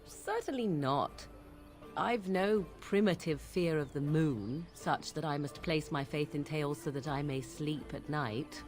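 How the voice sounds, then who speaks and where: A woman's voice: low voice, a little slow, a little mellow, soft background music. An actress playing an aloof, sardonic young witch who speaks coolly and precisely. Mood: scornful. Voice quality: cool, disdainful, precise.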